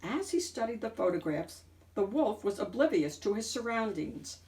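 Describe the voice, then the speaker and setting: A woman narrating a children's picture book in an expressive read-aloud style, with deliberate, dramatic emphasis. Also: medium pitch, recorded from a distance, poor audio. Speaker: storyteller, picture book reader.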